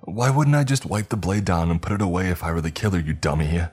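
A man's voice: low-pitched, quick pace, slightly rough, faint music behind. A man voicing a panicked, angry husband who denies murder and mocks his accuser. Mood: mocking. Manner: defensive, scoffing, shouting.

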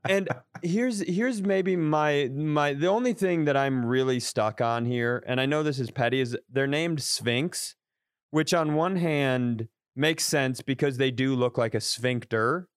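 Smooth-voiced man telling crude jokes. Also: medium pitch, a little slow, no room sound, clean studio sound. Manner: rambling, crude jokes, frequent restarts. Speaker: podcast co-host. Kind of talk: casual comedic chat.

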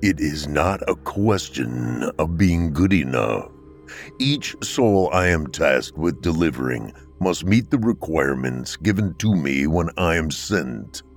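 A man voicing Death, a deep, echoey male reaper who speaks slowly and calmly with empathy. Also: faint music behind, full character voice. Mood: solemn. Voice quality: deep, echoey, slow and measured.

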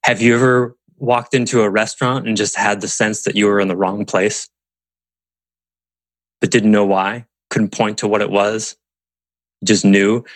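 A male podcast host with a somewhat low voice leads a thoughtful group conversation. He muses aloud and poses rhetorical questions. The sound is dry and close, with no room around it. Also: fairly bright tone, dry recording. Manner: musing, asks rhetorical questions. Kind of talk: reflective group discussion.

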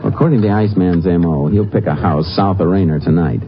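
Deep-voiced laconic Texas Ranger. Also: male voice, quick pace, faint music behind. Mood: determined. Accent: American. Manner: laconic, clipped, matter-of-fact. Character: calm, determined Texas Ranger investigator and narrator. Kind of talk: 1950s western radio drama acting and narration. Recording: muffled old 1950s radio recording.

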